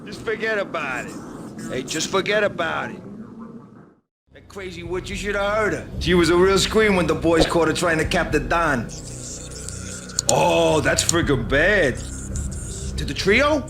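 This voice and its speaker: An actor playing a mobster gossiping casually on the phone. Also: male voice, on the high side, expressive, a little slow, pauses often. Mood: amused. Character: chatty male mobster on the phone. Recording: game soundtrack music behind.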